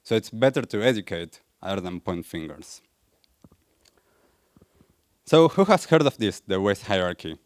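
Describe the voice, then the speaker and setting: A young man with an Argentine accent speaks to a live audience in a low voice, earnest and questioning. The sound is close and dry, with little room echo. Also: big pitch swings, moderate pace, long pauses. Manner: earnest, asks the audience questions, light laughs. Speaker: wellness coach and speaker. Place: event venue in front of a live audience.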